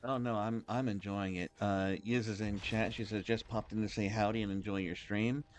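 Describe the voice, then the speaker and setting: Low-voiced man hosting a game stream. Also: level tone, slight background noise. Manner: halting and rambling, many restarts. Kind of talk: casual livestream chat.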